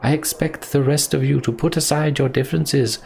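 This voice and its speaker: A man's voice: mid-range voice, steady tone, brisk, vocal fry, darkish tone, a little hiss or hum, voice pushed up, close to an everyday voice. A man voicing a stern, urgent female mage in command, reading fantasy game dialogue. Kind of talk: voiced video game dialogue.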